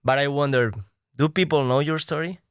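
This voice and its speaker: A man's voice: fairly high pitch, fairly quick, clean tone, dry recording, studio quality. A man hosting a casual sit-down interview, easygoing and friendly, with many small fillers. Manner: easygoing, warm intro with many "uh" and "um". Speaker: interviewer host.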